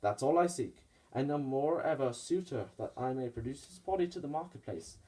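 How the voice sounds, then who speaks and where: A man's voice: medium pitch, animated, fairly quick, dark tone, natural voice, rough recording. A man playing a grieving, vengeful Roman nobleman in a puppet-show Shakespeare, declaiming verse with fervour. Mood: guarded. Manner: declamatory, impassioned.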